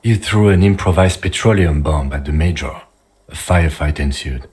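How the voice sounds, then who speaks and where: An actor playing a calm, dutiful police lieutenant in a video game, speaking in a measured, clipped, understated way. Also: male voice, very deep voice. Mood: dry, reassuring. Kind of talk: video game dialogue acting.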